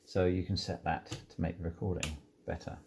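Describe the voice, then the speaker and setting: Guy doing a relaxed gear demo, mellow low voice, takes it step by step. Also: a little hiss or hum. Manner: unhurried, step-by-step explaining. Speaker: presenter demonstrating audio equipment. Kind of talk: informal hands-on product walkthrough.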